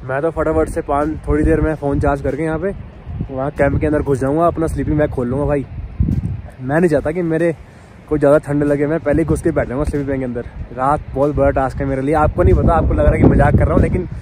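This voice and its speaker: A man's voice: on the high side, fairly even intonation, fast talker, noisy background. A young man chatting casually and informally to camera as a solo travel vlogger, outdoors. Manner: informal, bro-peppered talk. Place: outdoors at a mountain campsite.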